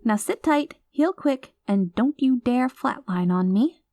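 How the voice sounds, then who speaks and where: A woman's voice: on the high side, expressive, measured pace, warm tone, no room sound, clean studio sound. A woman acting an anxious, clingy, doting girlfriend with playful menace, in an intimate, emotive audio roleplay. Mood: playfully possessive. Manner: emotive, flustered, affectionate, teasing.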